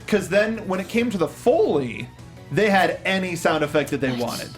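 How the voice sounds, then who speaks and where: A man's voice: sits high, very animated, normal speed, soft background music. A man hosting a casual panel chat about movies, jokey and given to quoting lines in mimicked voices. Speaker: host of a film review show.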